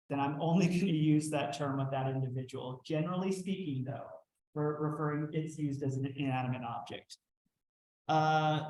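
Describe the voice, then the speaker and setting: A man's voice: a little high, a little mellow, roomy sound, poor audio. A man from Texas with a light Texan drawl, teaching a workshop in a conversational way, with some fillers and restarts. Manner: conversational, with fillers and self-corrections. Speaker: trainer. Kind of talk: workshop lecture.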